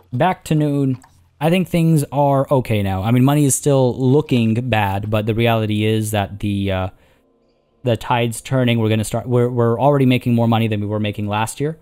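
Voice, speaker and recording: A man with a hoarse, tiring voice, chatting casually as he plays a zoo-building game and rambling through his thoughts. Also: mid-range voice, mellow. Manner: rambling, chatty, many restarts and fillers. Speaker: let's play host. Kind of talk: casual gameplay commentary.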